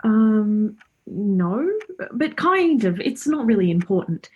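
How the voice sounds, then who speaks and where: A woman's voice: medium pitch, very animated, slow, slightly rough, highs cut off, full character voice. A woman acting a chatty, cheeky, friendly character in a radio play, speaking informally and forthrightly. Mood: earnest, forthright. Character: Laura, chatty, cheeky, friendly young woman befriending Death.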